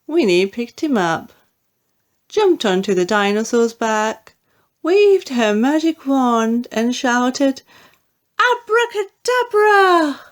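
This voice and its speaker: A woman narrating a children's storybook in an expressive, deliberate way. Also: on the high side. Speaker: audiobook narrator.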